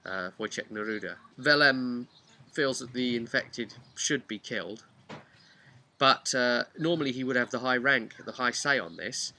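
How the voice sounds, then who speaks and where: A man's voice: medium pitch, unhurried, pushed and bright, a little hiss or hum. A man telling a casual, unscripted recap of a tabletop game as its game master, rambling and using repetition for emphasis.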